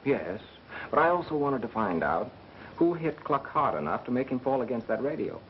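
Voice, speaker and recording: An actor playing a calm, matter-of-fact radio engineer turned amateur detective in a 1930s mystery film. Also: male voice, medium pitch, moderate pace, a little hiss or hum, lightly acted. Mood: assured, explaining. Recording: muffled 1930s film soundtrack with music.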